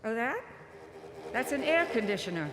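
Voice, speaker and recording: A woman's voice: fairly high pitch, big pitch swings, normal speed. A woman acting a determined, outspoken, testy research doctor in a live audio drama. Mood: cooperative. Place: live stage performance in a large hall. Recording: echoey live hall, background noise.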